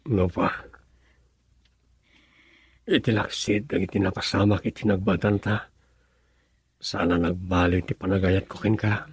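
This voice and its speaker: A man's voice: sits low, little pitch movement, unhurried, pauses often, husky, full character voice. A man playing an earnest, solemn young suitor with a reproachful edge, in radio drama style with a Filipino accent. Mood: tender.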